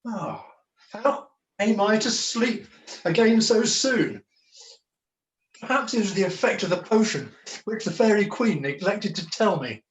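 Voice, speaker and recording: A weary, melodramatic pantomime jester played by a man, high-pitched and declamatory. He takes his time over flowery old-fashioned phrases, recorded in an echoey room on a video call. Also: lively intonation, measured pace, pauses often. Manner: theatrical, flowery, mock-archaic, declamatory. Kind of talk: comic pantomime dialogue.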